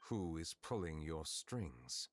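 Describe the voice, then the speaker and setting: An actor voicing a grave, ageless male chief justice in a video game, speaking formally and with measured authority. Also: a bass voice, sing-song, slight background noise, full character voice. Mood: stern, probing. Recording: music behind the voice.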